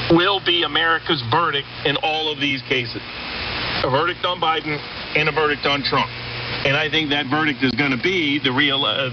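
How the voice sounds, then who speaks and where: A man giving unscripted political analysis as an interview guest, emphatic and building his points in lists and repeated phrases. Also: on the high side. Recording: dull, noisy shortwave radio relay.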